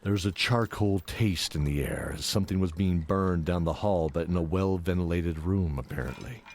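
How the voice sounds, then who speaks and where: A man's voice: low-pitched, normal speed, a little mellow, a little hiss or hum. A man narrating a scripted fantasy story as the narrator of an audio drama. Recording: music under the voice.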